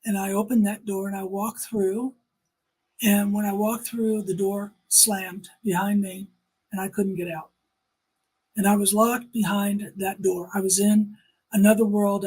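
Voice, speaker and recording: A woman's voice: mid-range voice, darkish tone, slightly rough, rough recording. A woman giving personal testimony in an interview, speaking emphatically and repeating phrases to drive points home.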